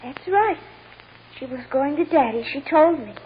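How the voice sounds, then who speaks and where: A woman's voice: high voice, expressive, moderate pace. A woman playing a small, innocent, hesitant child witness in an old-time radio drama, talking simply. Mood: reluctant, innocent. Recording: dull, noisy vintage radio recording.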